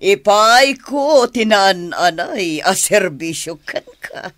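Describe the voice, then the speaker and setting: Slow, coaxing, scheming mistress. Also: female voice, medium pitch, expressive, fairly bright tone, dry recording. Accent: Northern Philippine (Ilocano). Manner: coaxing, drawn-out, fawning. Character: sultry, scheming female lover of crime boss. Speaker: radio drama actor. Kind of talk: radio drama acting.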